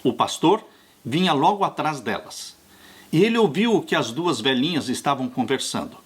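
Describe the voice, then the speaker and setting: A man in his sixties, a pastor, preaching an earnest and unhurried sermon that addresses his listeners tenderly as dear ones. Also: a little high, lively intonation, slightly rough. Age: 60s. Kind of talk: sermon on a Bible study lesson.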